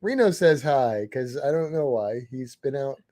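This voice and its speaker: A man co-hosting a casual livestream, easygoing and chuckling as he reads viewer comments, his voice a little hoarse. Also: mid-range voice, animated, unhurried, mellow. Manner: easygoing, chuckles often, hums to himself. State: slightly hoarse. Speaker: livestream co-host.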